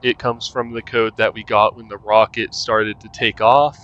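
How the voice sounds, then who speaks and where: Guy doing a chill game guide, pretty even pitch, smooth voice, kinda plain spoken. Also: normal speed. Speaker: video game guide maker. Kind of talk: casual game walkthrough commentary. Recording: dull, muffled lo-fi sound.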